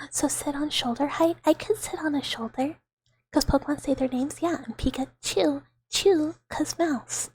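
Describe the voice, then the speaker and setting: A young woman streaming ASMR, whispering softly to her chat with giggles and playful squeaky outbursts, close to the microphone. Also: slight background noise, low-quality recording. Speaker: VTuber streamer.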